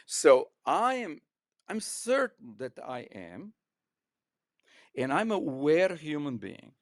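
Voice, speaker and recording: An older man with a Croatian accent, talking as a physics professor in an interview in an emphatic, digressive way, often repeating words haltingly. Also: a little high, big pitch swings, dry recording, clean studio sound. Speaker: physics professor, podcast guest. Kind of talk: unscripted, discursive interview talk.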